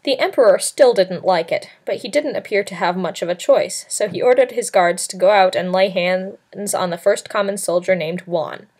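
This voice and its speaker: A woman's voice: mid-range voice, moderate pace, few pauses, darkish tone. A woman narrating a novel as an amateur read-aloud, in a scripted storybook style. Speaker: amateur audiobook reader.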